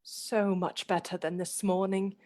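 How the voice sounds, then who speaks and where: A woman acting in a radio play as a fragile, affectionate young gentlewoman, speaking gently and expressively. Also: medium pitch, level tone, measured pace, close to an everyday voice. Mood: frail, fearful.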